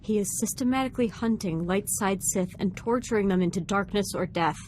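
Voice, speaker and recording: An actress playing a young, earnest, troubled Sith apprentice in a video game, speaking gravely and formally. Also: female voice, medium pitch, brisk, darkish tone, a little hiss or hum, natural voice, low-quality recording. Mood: troubled, resolved. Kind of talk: dramatic video game voice acting.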